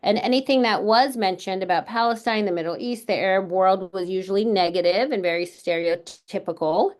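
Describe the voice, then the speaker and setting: A middle-aged woman from California, an educator, speaking earnestly and in a measured way at a book launch panel, with frequent pauses and fillers. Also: mid-range voice. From California, United States.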